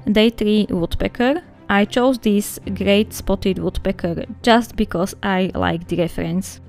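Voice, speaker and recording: A woman's voice: mid-range voice, darkish tone. This is a woman with a Slovak accent whose first language is Slovak, talking casually to her viewers as she walks through her art. Her voice sits in a middle register, and she chats in an easy, friendly way. Faint background music plays under her voice. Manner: friendly, chatty, addresses viewers as guys. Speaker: art vlogger. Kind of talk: casual sketchbook flip-through narration.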